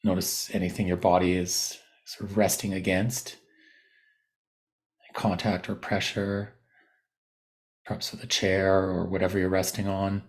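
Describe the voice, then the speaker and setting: Male meditation teacher, lowish gravelly register, gentle and slow to move on, instructing with spacious pauses. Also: little pitch movement. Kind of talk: guided body scan meditation.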